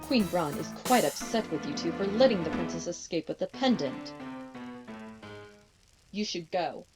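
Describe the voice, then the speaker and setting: A woman's voice: fairly low pitch, animated, normal speed, over music, close to an everyday voice. A woman voicing a curt, dutiful palace officer in a formal, clipped fan dub performance. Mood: stern. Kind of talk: anime-style game fan dub acting.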